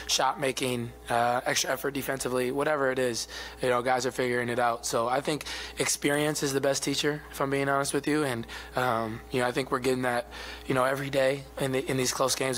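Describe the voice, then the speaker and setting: Soft-spoken young man at press table mic. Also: mid-range voice, slight background noise, rough recording. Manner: soft-spoken, even, many fillers. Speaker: basketball player. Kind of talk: postgame press conference. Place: arena press room. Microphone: table mic in front of him.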